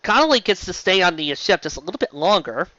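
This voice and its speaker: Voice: mid-range voice, big pitch swings, fast talker, sharp tone, no echo, lo-fi sound. A show host giving a casual, rambling fan review in a gushing, emphatic way, often tripping over words and restarting. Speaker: host of a fan fiction live-read show.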